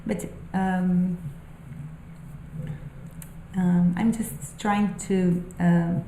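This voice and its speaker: A woman speaking English as a second language muses about spiritual questions, halting and thoughtful with frequent fillers. Her voice is mellow and unhurried. The room sounds echoey, with a faint hum of ambience behind her. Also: fairly low pitch, little pitch movement. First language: not English. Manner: thoughtful, halting, many ums and restarts. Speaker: spiritual vlogger. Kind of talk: unscripted musing monologue.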